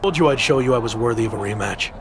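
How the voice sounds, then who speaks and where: An actor playing a cocky, ambitious young wrestler in a video game, speaking in an assertive, argumentative way. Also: male voice, medium pitch, quick pace, a little hiss or hum, lightly acted. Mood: pleading, determined. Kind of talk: scripted video game cutscene acting.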